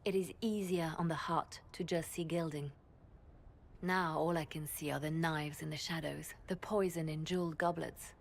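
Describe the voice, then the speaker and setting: A quiet, scheming spymaster. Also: female voice, low voice, steady tone, gravelly, slight background noise. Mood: measured. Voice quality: soft, measured, quietly conspiratorial. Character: composed, scheming spymaster woman.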